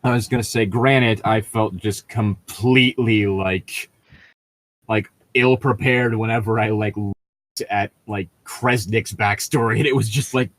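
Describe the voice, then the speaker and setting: Man, lowish pitch, dry close sound, casual co-host, halting delivery, story reading and chat. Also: fairly even intonation, a bit bright, no room sound. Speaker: co-host reading online stories.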